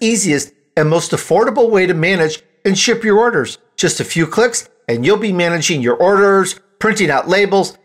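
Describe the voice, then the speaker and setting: A man's voice: high voice, a bit bright. A man hosting a show, reading scripted announcements and sponsor copy addressed directly to listeners. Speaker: show host. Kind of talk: scripted host announcement and ad read.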